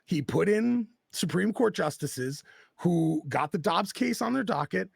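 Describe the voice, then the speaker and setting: A man's voice: high voice, lively intonation, unhurried, creaky, husky, no echo, studio quality. A man in casual political conversation, talking bluntly and passionately with plenty of swearing. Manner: blunt and profane, impassioned.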